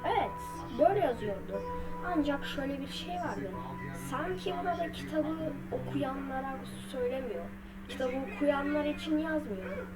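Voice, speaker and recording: Female video narrator, high voice, halting delivery with filler words, loud music bed throughout. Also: animated, rough recording. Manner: halting, broken phrases with repeated filler words. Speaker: video creator narrating a horror theory. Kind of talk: unscripted storytelling commentary to viewers.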